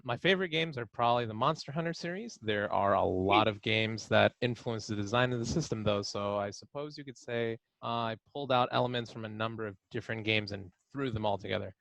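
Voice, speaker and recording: A man's voice: lowish voice, creaky, fairly bright tone, dry recording, poor audio. A man chatting casually and reading out answers in an interview, playful and enthusiastic. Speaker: editor reading author's answers. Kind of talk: casual panel chat with read-out answers.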